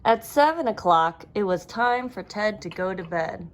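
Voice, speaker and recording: A woman reading a children's storybook aloud as narrator, with expressive storytelling. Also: mid-range voice, moderate pace. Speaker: storybook reader.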